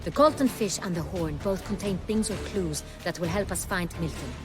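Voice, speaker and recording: An actress playing a commanding, regal young duchess in a video game, speaking formally and with authority. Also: female voice, lowish voice, moderate pace, natural voice. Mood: brisk, instructing. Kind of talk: video game cutscene acting. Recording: music behind the voice.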